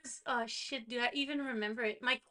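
A bright, somewhat high voice from a young woman with a Quebec French accent. French is her first language, and she chats playfully in English as a podcast co-host. She giggles often between her lines. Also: fairly even intonation, fairly quick. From Quebec, Canada. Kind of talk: casual chat.

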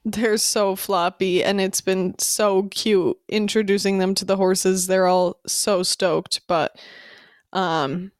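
A woman's voice: medium pitch, steady tone, slow pace, no room sound, studio quality. A woman co-hosting a podcast in casual chat, a little stuffy from allergies, bubbly and full of "like". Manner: bubbly, says "like" a lot. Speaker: podcast co-host.

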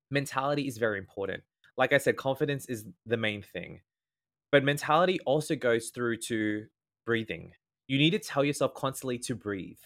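An Australian man whose voice has a slight nasal edge. He explains his advice patiently in a relaxed interview, setting out each point in turn. His pitch sits right in the middle for a man. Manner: earnest, explanatory, lays out tips in ordered points. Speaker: guest speaker, former event MC. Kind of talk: casual podcast interview.

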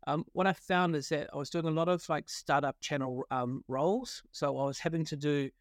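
Man, New Zealand accent, forties, fairly high pitch, halting with lots of fillers, dry close sound. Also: no room sound. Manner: hesitant, many fillers and restarts. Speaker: guest, partnership consultant. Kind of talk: podcast interview.

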